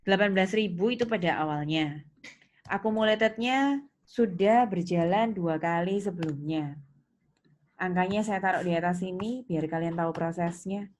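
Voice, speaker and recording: Indonesian woman lecturing over clear background noise. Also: lowish voice, moderate pace. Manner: instructive, poses questions then answers them. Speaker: accounting lecturer. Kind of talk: recorded lesson, step-by-step explanation. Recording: clearly noisy, with keyboard clicks.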